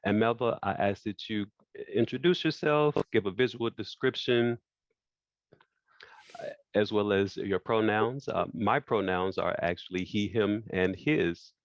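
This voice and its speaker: Deep-voiced man moderating a video call. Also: no echo. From France. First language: French. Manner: halting, repeats phrases. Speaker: panel moderator. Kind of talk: online panel discussion. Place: indoor room by a wall. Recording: dull lo-fi video call audio.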